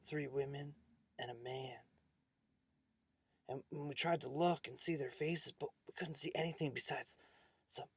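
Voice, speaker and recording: A man's voice: mid-range voice, husky, no room sound. A man voicing a stern, haunted farmer in a spooky story, with ominous folksy talk. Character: gruff old farmer warning schoolboys, haunted and stern.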